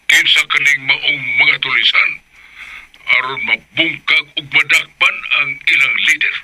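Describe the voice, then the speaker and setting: A man's voice: on the high side, fairly even intonation, a little slow, sharp tone, heavily acted, low-quality recording. A man playing a determined, upright father in a Filipino radio drama, with a Cebuano accent. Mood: firm, insistent.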